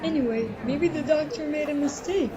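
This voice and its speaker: Audio drama role: seventh-grade boy, reassuring pal, raised pitch, muffled sound played by a woman. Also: moderate pace, crowd noise, lightly acted. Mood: encouraging.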